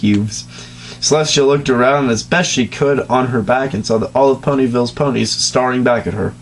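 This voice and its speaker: A man at an ordinary, mid-range pitch reads a story aloud for comedy. He keeps a dry, sarcastic tone and stops now and then to mock the writing. A faint hum runs underneath. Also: normal speed, poor audio. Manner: sarcastic, deadpan asides. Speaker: reader and host. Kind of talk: comedic dramatic reading.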